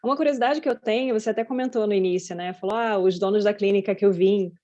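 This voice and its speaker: A woman's voice: mid-range voice, quick pace, mellow. Woman host, Brazilian, chatty questioner, slightly muffled lo-fi audio. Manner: chatty, rambling questions with frequent "né". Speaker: podcast host. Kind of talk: interview questions. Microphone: video call.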